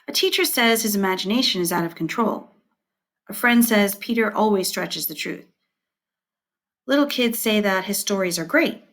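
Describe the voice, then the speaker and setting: A woman teacher reading scripted instructional material aloud, setting out headings and short points in a measured, deliberate way. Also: medium pitch.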